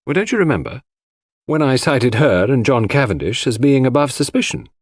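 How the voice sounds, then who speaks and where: A man voicing an earnest, puzzled gentleman, a detective's trusting friend, with an eager, questioning manner. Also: mid-range voice, very animated, fairly quick, slightly rough, no room sound, studio quality, pitched above the performer's own voice. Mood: mildly reproachful.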